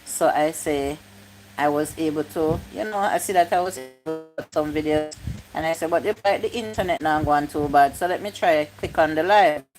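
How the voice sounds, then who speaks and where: A woman's voice: low-pitched, normal speed, a little mellow, soft background music, poor audio. A woman, chatting casually on a livestream, reading comments aloud and repeating her points. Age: 50s. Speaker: YouTube live streamer.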